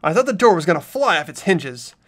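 A woman's voice: on the low side, very animated, brisk, a bit bright. A woman reading narration and lead dialogue in a game playthrough, with casual banter. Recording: music bed behind voice.

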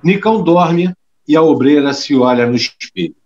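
A man's voice: fairly high pitch, animated, normal speed, a little mellow, lo-fi sound. A man reading scripted scene narration for an audio drama.

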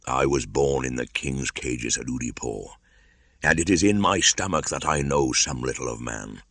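A man voicing a wise, worldly old male panther, speaking low, slow and measured. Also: fairly bright tone, dry recording, studio quality, heavily acted. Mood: knowing.

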